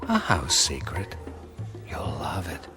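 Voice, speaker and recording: An actor playing a suave, roguish gambler and cheat in a radio drama, with an insinuating, knowing delivery. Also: male voice, low-pitched, huge pitch swings, takes it slowly, full character voice. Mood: smooth, seductive. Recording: music playing behind the voice.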